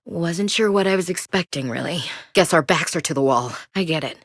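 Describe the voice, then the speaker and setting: A frank, steady woman soldier for a sci-fi video game. Her voice sits low and she speaks in plain, dry military terms. The studio recording is close and dead dry. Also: brisk, no echo. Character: tough female human soldier, blunt and loyal. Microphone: close studio mic.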